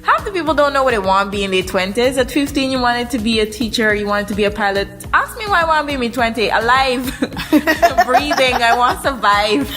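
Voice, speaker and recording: A woman's voice: on the high side, animated, brisk, pushed and bright. A young woman in her twenties chats on a podcast in an easy, playful way. She laughs a lot and drifts from point to point, with faint music behind her. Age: 20s. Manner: laughs often, playful and rambling. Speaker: podcast co-host. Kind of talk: casual chat.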